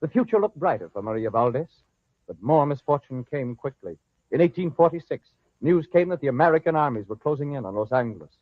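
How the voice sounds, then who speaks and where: A man giving formal, measured scripted narration for an old-time radio drama. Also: mid-range voice, lively intonation, no echo. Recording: dry, muffled old radio recording.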